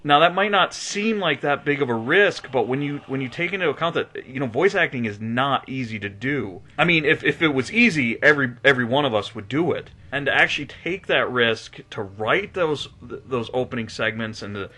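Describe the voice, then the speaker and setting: A male review host with a very bright, forceful voice of average pitch. He speaks conversationally, with earnest, effusive enthusiasm and plenty of emphasis. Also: animated, fairly quick, few pauses. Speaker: host of game movie review show. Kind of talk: enthusiastic conversational video commentary.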